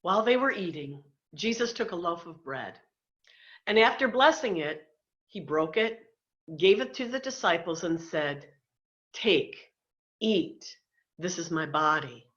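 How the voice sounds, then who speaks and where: Lower-pitched woman reading scripture, dull audio. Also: lively intonation, measured pace, long pauses, fairly bright tone. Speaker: pastor. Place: indoors, on a video call. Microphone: video call microphone. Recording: dull lo-fi video call audio.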